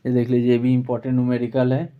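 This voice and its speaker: A man's voice: mid-range voice, completely flat delivery, racing, clean tone. A man with an Indian accent giving an informal exam-prep physics lesson as a teacher, working through questions. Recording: dull, lo-fi sound.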